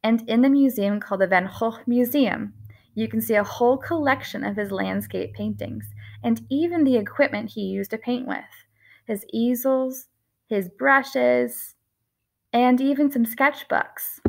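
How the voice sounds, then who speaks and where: A woman in her thirties teaches an art lesson to young children, her voice a little high with a gravelly fry at phrase ends. She speaks gently and expressively, stretching out key words for emphasis. She is close to a webcam in a small room. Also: measured pace.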